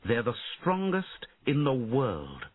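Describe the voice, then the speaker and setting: A man voicing a gentle, protective queen who speaks softly to her son, heard over tinny phone-like audio. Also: mid-range voice, wildly swooping pitch, slow pace, no echo, pitched above the performer's own voice, heavily acted. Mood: tender. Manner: soft and quiet.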